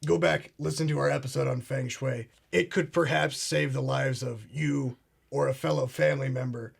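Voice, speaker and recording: A man's voice: mid-range voice, fairly even intonation, normal speed, vocal fry, husky. An actor playing a pompous, paranoid male conspiracy talk show host who speaks in a grandiose, ominous way. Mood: grave. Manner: grandiose, ominous, addresses "ladies and gentlemen". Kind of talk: comedic mock talk show.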